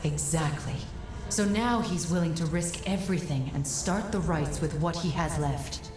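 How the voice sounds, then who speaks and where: Actress as low-voiced, grave fantasy guide woman. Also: natural voice. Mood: grave, resolute. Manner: measured, earnest, explanatory. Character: grave female advisor and guide in high fantasy. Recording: roomy echo, game music behind.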